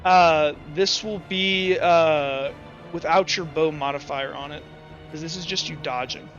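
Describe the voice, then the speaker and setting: A man's voice: a little high, a little slow, fairly bright tone, faint music behind. Guy gm running a dice game, talks in circles, muffled lo-fi audio. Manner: rambling, restarts often. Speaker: game master.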